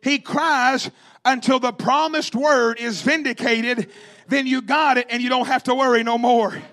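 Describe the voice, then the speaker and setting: High-pitched, forceful man preaching fervently. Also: steady tone, a little slow. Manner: emphatic, repetitive, rhythmic exhortation; calls out to the congregation. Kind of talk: fervent revivalist sermon.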